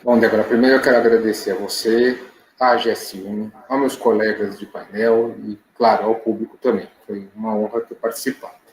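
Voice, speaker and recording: Male panelist, lower voice, formal manner, roomy sound. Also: level tone, fairly quick. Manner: formal, grateful. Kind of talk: closing remarks on a webinar panel.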